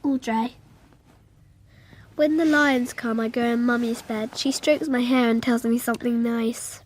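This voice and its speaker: A woman's voice: a little high, steady tone, measured pace, a little hiss or hum, close to an everyday voice. An actress playing a young, imaginative schoolgirl narrating a radio drama in a naive, literal way. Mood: matter-of-fact. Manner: naive, literal, childlike phrasing.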